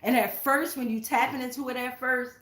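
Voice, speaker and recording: A woman's voice: high-pitched, steady tone, moderate pace, fairly bright tone, roomy sound. A woman giving a casual spiritual tarot reading, speaking straight to her viewers in an emphatic, blunt, sweary way. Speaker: tarot reader, channel host. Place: indoors at home.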